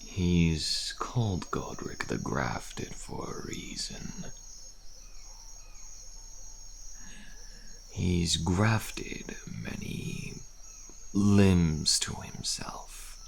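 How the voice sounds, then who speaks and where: A man voicing a world-weary, bitter deserter soldier on the run in an audio roleplay, speaking in a gravelly, breathy murmur with halting, musing phrases. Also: deep voice, expressive, a little hiss or hum, heavily acted. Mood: cautionary. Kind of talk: audio roleplay, slow addressed monologue. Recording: faint outdoor night insect ambience.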